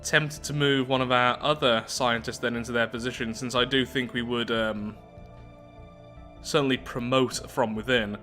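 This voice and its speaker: A man's voice: mid-range voice, sharp tone. A man giving casual commentary on a strategy game playthrough, thinking aloud as he goes. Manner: thinking aloud, musing to himself.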